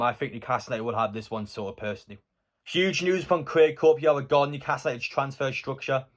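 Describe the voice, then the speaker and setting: A man giving conversational, opinionated football news commentary as a fan YouTuber. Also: mid-range voice.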